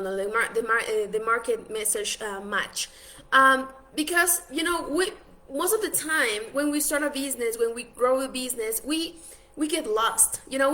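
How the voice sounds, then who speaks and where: Earnest Ecuadorian woman coaching, high voice. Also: a bit bright. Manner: earnest, many restarts and fillers. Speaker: business coach. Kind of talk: online masterclass talk.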